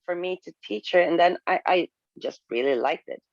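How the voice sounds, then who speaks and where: A woman's voice: lowish voice, gravelly, no room sound. Candid woman, dull dry audio. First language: Swedish. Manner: candid, halting with frequent restarts and laughs. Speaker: guest. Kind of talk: casual video-call conversation.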